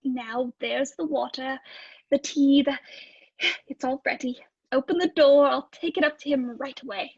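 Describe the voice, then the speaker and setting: Woman acting a timid, high-voiced stammering landlady. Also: fairly even intonation, measured pace, a little mellow, no echo, muffled recording. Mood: excited, bustling. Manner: hesitant, stammering, deferential. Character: timid, eager-to-please married landlady in an old British lodging house. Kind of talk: old-time radio play acting.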